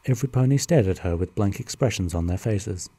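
A narrator reading a fan-made cartoon radio play in plain, even storytelling. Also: low-pitched, brisk, breathy edge, dry recording.

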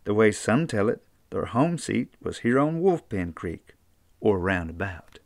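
An older man with an Appalachian accent, reading a children's storybook aloud in an unhurried, folksy way. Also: fairly low pitch, big pitch swings, creaky, no room sound, clean studio sound. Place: small book-lined room indoors.

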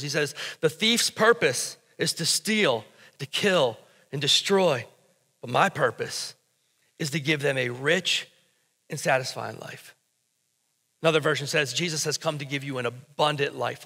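A man's voice: a little high, pushed and bright. An American man in his thirties preaching a sermon as pastor, emphatic yet conversational, repeating phrases to drive them home. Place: stage of a church auditorium, indoors. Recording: reverberant hall sound.

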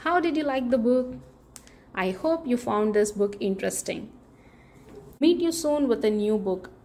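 A woman with a fairly high voice reads a children's picture book aloud. Her pace is very unhurried and her pitch swings widely as she stresses key words. She speaks straight to young listeners, often putting questions to them. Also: expressive, mellow. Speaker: children's book reader, channel host.

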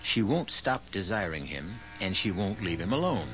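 A man acting as a wry storyteller host, narrating a 1970s radio drama in a measured way. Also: sits low, sing-song, muffled recording, background noise. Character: wry male storyteller host of a mystery play. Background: prominent music.

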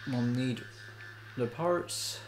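A stuffy-nosed man giving relaxed, chatty commentary while playing a video game. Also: mid-range voice, extremely slow, faint music behind. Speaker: let's play host. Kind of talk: casual gameplay commentary.

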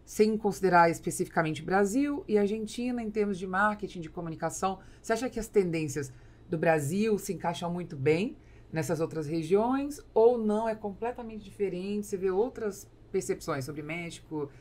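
A woman's voice: mid-range voice, normal speed, a little mellow. A woman with a Brazilian accent hosting a podcast, explaining at length as she builds her questions in a conversational way. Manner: explanatory, long linked questions. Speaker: podcast host. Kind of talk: conversational interviewing. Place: small foam-padded indoor podcast studio. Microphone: studio mic on boom arm.

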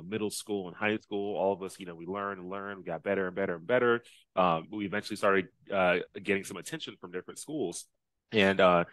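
A man in his thirties from Texas, a guest telling his story in a casual video-call interview, upbeat and quick to laugh, with many fillers. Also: sits low, a bit bright, no room sound, rough recording. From Dallas, Texas. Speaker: guest, Navy officer and race driver. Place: small indoor room. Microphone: headset.

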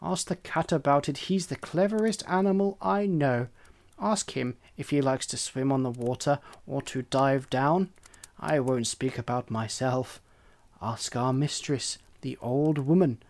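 A storyteller voicing a conceited, bossy hen who lectures in a raised, high voice. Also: unhurried, darkish tone, natural voice. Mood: scolding. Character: bossy conceited hen, scolding and self-important. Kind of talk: storybook reading.

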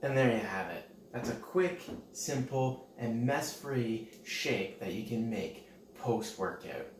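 A man in his thirties hosting a playful how-to cooking vlog at home with mock-serious, deadpan humour. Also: medium pitch, takes it slowly. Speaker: cooking show host. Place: home kitchen and dining room.

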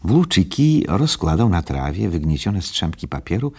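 An extremely deep-voiced storyteller narrates a children's radio play. The voice is husky and unhurried, made for a storybook tale. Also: male voice, sing-song, a little mellow, heavily acted. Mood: calm narrating.

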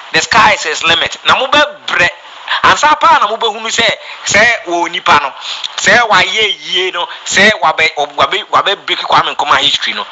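A man's voice: high voice, pushed and bright, slight background noise, poor audio. A Ghanaian man giving an impassioned, scolding political rant, emphatic and repeating his words.

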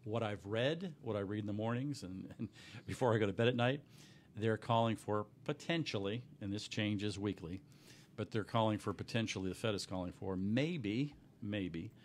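A middle-aged man, a little hoarse, explaining loans as a guest on a radio talk show in a small studio with a desk mic. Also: fairly low pitch, moderate pace, a little hiss or hum. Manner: earnest, explanatory, many restarts. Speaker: mortgage company owner, guest. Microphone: desk microphone on boom.

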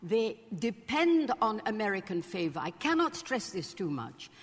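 A woman's voice: mid-range voice, very animated, moderate pace. A woman arguing as a debate panelist in a reverberant hall, insistent and pressing. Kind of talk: live debate argument.

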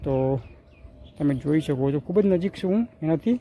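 A man's voice: on the high side, expressive, moderate pace, dark tone, slight background noise. A young man with a Gujarati accent, walking around his village rooftop and describing what his phone camera shows, repeating his phrases often. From Gujarat, India. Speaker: village vlogger. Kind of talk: casual walk-and-talk vlog. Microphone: handheld phone camera. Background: birds calling.

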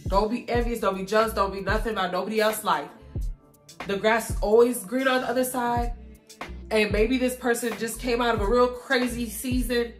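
Young woman vlogger, hoarse and stuffy, preachy and encouraging, roomy echo, soft music under. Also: on the high side, fairly even intonation, normal speed. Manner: encouraging, preachy, addresses viewers directly. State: hoarse and congested. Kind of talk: casual faith-filled catch-up talk.

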